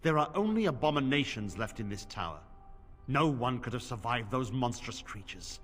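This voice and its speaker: An actor playing a stern, weary middle-aged templar commander in a fantasy game, speaking gravely and formally. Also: male voice, medium pitch, animated, moderate pace, a bit bright. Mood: grim. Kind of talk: fantasy video game dialogue. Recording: reverberant stone hall, music underneath.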